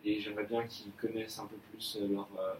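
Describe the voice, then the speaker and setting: A young man plays a polite, earnest employee in an amateur office role-play, stumbling through his answers with fillers and repeats. His voice sits low and barely moves in pitch. It is recorded from a distance in an echoey room with a faint hiss behind it. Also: little pitch movement, fairly quick, lightly acted. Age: young adult. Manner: hesitant, many fillers and restarts. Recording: echoey room, mic far off, faint noise.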